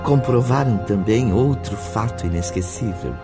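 A man narrating scenes of a spiritual radio play in a steady way. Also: on the low side, measured pace, over loud music.

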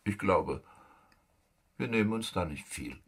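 An actor playing an insolent, cunning young soldier in a German radio play, mock-polite and sardonic. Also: male voice, sits low, animated, a little slow, a bit bright, husky, heavily acted. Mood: smug, insolent. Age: young adult. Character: insolent, cunning young soldier, coolly provoking his superior.

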